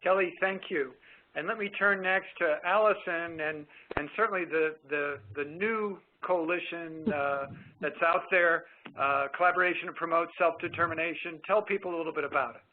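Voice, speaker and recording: A man's voice: fairly high pitch, moderate pace. A man moderating a webinar panel and handing the floor to each speaker, hesitant, with repeated "uh" fillers. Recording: tinny phone line with faint noise.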